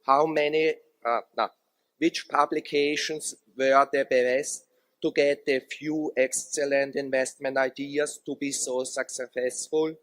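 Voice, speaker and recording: A man's voice: mid-range voice, level tone, slow pace, fairly bright tone, dry recording. A native German-speaking man from Austria, with an Austrian accent, formally and carefully putting a prepared question from the audience. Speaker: audience member asking a question.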